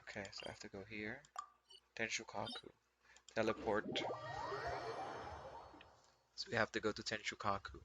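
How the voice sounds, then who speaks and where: A man's voice: fairly low pitch, completely flat delivery, moderate pace, pauses often, background noise. A man giving casual, playful, chatty commentary as he plays a video game. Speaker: gaming vlogger. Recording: game music faintly behind.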